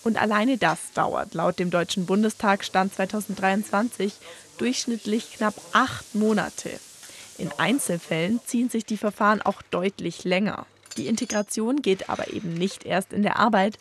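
A woman reporter reading a scripted news voice-over in a clear, measured way. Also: mid-range voice, slight background noise.

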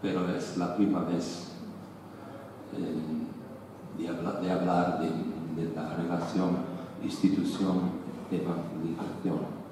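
Middle-aged man, Italian native speaker, very slow and halting delivery, low dark voice, roomy echo of a large hall, table microphone heard from a distance, faint hiss behind. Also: flat delivery. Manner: hesitant, many fillers and self-corrections. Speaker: guest lecturer. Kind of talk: academic lecture. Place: large lecture hall, seated at a panel table.

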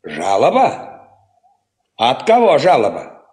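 An actor playing a young peasant farmhand in a Soviet radio play, brazen, evasive and dismissive. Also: male voice, mid-range voice, wildly swooping pitch, slow pace, darkish tone. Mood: feigned puzzlement. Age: young adult. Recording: roomy old radio recording.

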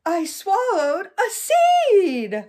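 A woman voicing an excitable, melodramatic young crocodile from a children's picture book. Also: very high-pitched, very slow, pitched above the performer's own voice, exaggerated voice. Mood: panicked. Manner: dramatic, exclamatory, melodramatic. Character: excitable, panicky young crocodile who loves watermelon.

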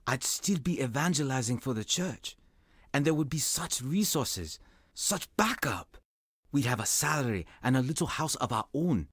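An actor playing an earnest, self-doubting African evangelist nearing forty, telling his testimony in an audio drama in a measured, confessional way. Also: mid-range voice, expressive, husky, no echo, studio quality. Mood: hesitant, earnest. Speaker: narrator and lead character in an audio drama.

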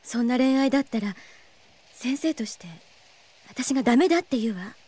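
A woman playing a gentle, earnest young teacher in an anime audio drama, softly admonishing and a little sad. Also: on the high side, animated, moderate pace, breathy edge, no echo. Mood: earnest, concerned. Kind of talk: anime radio drama acting.

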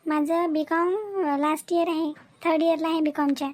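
A woman's voice: sits high, little pitch movement, normal speed, darkish tone, lightly acted. A woman voicing an outspoken, confident young village woman in a comic cartoon, frank and plain-spoken.

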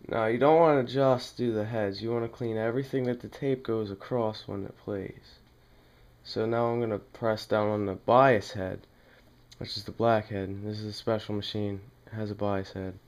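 A man with a fairly low, clean and smooth voice talks through a hands-on demonstration. His intonation stays very flat. He speaks plainly and casually, halting now and then as he works in a small room at home. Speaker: demonstrator in a home how-to video. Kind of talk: casual hands-on tutorial talk.

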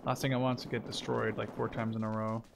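A man's voice: mid-range voice, flat delivery, quick pace, vocal fry, noisy background. A young man giving casual gameplay commentary, swearing often and breaking into alarmed exclamations. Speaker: gaming channel host. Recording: gunfire and explosions behind. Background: loud game gunfire and artillery.

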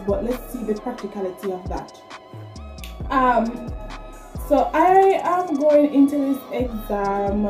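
A woman in her twenties with a Jamaican accent, chatting casually and directly to viewers as a vlogger. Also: medium pitch. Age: 20s. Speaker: vlogger, nursing student. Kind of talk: casual vlog talk to camera. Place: small plain room indoors. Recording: echoey room, music behind. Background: background music.

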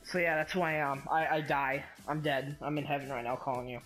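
Young guy doing a crude prank call, mouthing off, loud music laid over him. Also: fairly high pitch, fairly even intonation, brisk. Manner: crude, taunting, profane. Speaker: prank caller.

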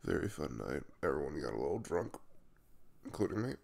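Male actor as drowsy, tender young man for intimate audio roleplay: low, very lively intonation, hesitant and casual. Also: fairly quick, vocal fry, heavily acted. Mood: sheepish, fond. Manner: hesitant, casual, chuckling mumble. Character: sleepy, caring young man waking in his crush's bed. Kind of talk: intimate ASMR boyfriend roleplay.

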